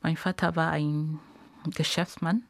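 Gravelly-voiced woman from Cameroon. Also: low-pitched, unhurried, dry recording. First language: Bamileke. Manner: animated, searching for words, frequent laughs. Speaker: interview guest. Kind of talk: casual interview conversation.

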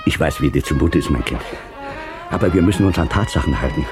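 A man's voice: sits low, huge pitch swings, fast talker, over music. An actor playing an anxious, irritable, stern older father in a 1960s radio drama. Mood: grave, insistent. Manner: agitated, commanding, nagging.

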